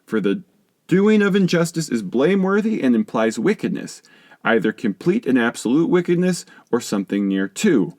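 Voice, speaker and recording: Man, audiobook narrator, mid-to-low pitch, very animated intonation, formal and didactic, dry close sound. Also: moderate pace, no room sound. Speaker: audiobook reader. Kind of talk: scripted narration, reading a philosophy text aloud.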